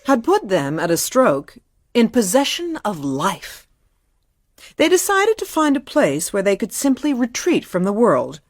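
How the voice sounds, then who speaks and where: An actress playing a wry literary lady who narrates a radio drama in a measured, deliberate manner. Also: fairly high pitch, wildly swooping pitch, dry recording, studio quality, heavily acted. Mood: wry, brooding.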